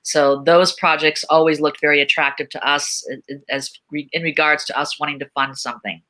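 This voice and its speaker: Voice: mid-range voice, steady tone, brisk, pushed and bright. A panel speaker explaining projects in an explanatory style. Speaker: panel speaker from a tech company funding VR projects.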